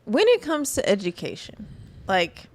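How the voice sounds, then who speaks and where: A woman's voice: mid-range voice, huge pitch swings, moderate pace, no echo. A young woman co-hosting a casual podcast, chatting loosely with lots of fillers and laughs. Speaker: podcast co-host. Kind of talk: casual chat.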